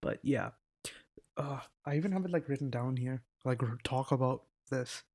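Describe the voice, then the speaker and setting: A man's voice: medium pitch, normal speed, darkish tone. A teenage boy chatting casually in a rambling, self-interrupting vlog, swearing now and then, into a desk mic. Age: teens. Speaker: vlogger. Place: indoors at a laptop.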